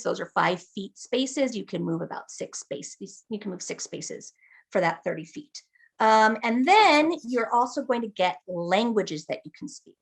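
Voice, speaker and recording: A woman guiding a new player through building a character. She explains each rule patiently, stops often to check they are following, and her pitch sits in a middle range. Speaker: game master guiding character creation. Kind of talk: explaining game rules.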